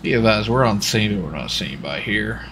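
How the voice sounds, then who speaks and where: A man's voice: on the low side, animated, brisk, background noise. A man chatting casually in folksy, colloquial phrasing while roleplaying a lawman in a video game. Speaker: streamer playing a US marshal in GTA roleplay.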